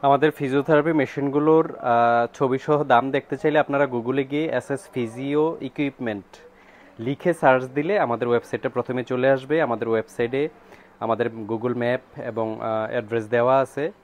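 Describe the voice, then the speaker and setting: A man with a Bangladeshi accent speaks at an ordinary middle pitch. He sounds like a shop promoter, informally directing customers where to find products and prices. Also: fairly even intonation, moderate pace.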